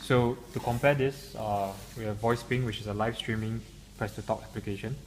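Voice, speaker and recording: A man's voice: on the low side, steady tone, fairly quick. A man giving an unscripted product demonstration in a hesitant, stop-and-start way. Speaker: software demonstrator. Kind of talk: unscripted product demo explanation. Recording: clearly noisy room recording.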